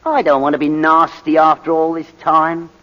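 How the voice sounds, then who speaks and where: A man's voice: a little high, level tone, normal speed, pushed and bright. An actor playing a sly, falsely genial male blackmailer who wheedles and taunts in 1940s radio drama style. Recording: muffled, reverberant 1941 radio broadcast.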